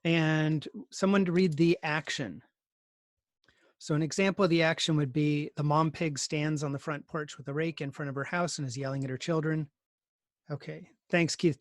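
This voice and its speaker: A man's voice: on the high side, no echo, clean studio sound. A man teaching conversationally on a video call, organizing his students with polite encouragement. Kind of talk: conversational online class instruction.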